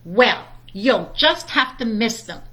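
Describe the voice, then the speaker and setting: A woman's voice: fairly high pitch, expressive, slow pace, sharp tone, full character voice. A woman voicing a firm, exasperated mother bear from a children's storybook, speaking emphatically. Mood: firm, scolding.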